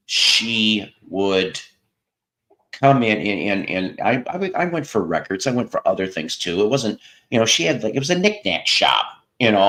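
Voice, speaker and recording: A man hosting a casual chat show, telling a long story in a relaxing voice, rambling with pauses and restarts. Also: fairly low pitch, a bit bright. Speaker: host.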